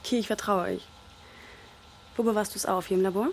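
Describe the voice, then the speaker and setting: A woman's voice: mid-range voice, steady tone, brisk, creaky, slight background noise, close to an everyday voice. An actress playing a cool, self-assured dealer in her twenties, speaking directly and in a businesslike way in a radio drama. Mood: probing. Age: 20s. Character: cool, self-assured 25-year-old female drug dealer. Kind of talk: naturalistic radio drama dialogue.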